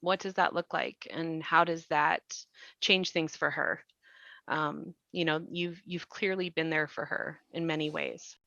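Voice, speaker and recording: A woman host and advocate speaking in an earnest, reflective way, measured and deliberate with pauses. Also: low voice, fairly even intonation, sharp tone, dry recording, dull audio.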